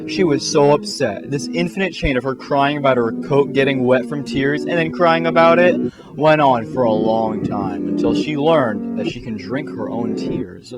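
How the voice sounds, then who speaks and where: A man's voice: medium pitch, normal speed, low-quality recording. A man narrating a comic fan-fiction story in a theatrical, mock-serious way. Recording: music behind the voice.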